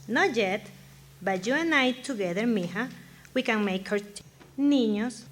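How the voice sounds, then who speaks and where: A woman's voice: a little high, animated, a little slow. A kindly immigrant mother acted by a woman in a live radio play. She has a Mexican accent and drops Spanish into her broken English. Light noise sits behind the voice. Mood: warm, motherly. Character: warm Mexican immigrant mother newly adopting two children. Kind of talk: radio drama acting. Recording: live stage performance, audible background noise.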